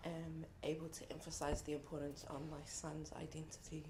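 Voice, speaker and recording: Earnest young New Zealand woman, lowish voice. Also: little pitch movement, noisy background. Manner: reflective and earnest, with frequent pauses. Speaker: contributor speaking at a book launch. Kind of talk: heartfelt speech to an audience. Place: event room at a book launch.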